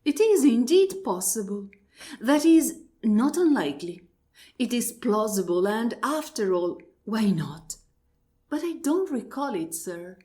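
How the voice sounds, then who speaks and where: A woman's voice: fairly high pitch, very animated, dark tone. A woman playing a prim, courteous, politely baffled lady with formal delivery in an absurdist radio play. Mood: polite doubt. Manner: formal, deliberate, mock-astonished. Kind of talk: absurdist stage dialogue read as radio play.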